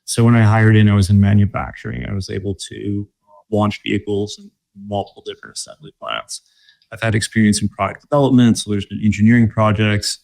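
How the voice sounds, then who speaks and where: A man from Michigan speaking as a guest in a casual interview, relaxed and thoughtful, with many fillers and restarts. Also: on the low side, brisk, vocal fry, rough recording. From Michigan, USA. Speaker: guest, corporate mobility director.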